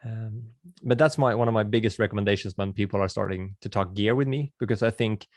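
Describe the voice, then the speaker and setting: Low, gravelly Swedish-accented man. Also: fast talker, no echo. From Sweden. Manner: friendly and chatty, with frequent restarts and filler words. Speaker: videographer hosting a webinar. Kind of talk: casual webinar talk answering viewer questions.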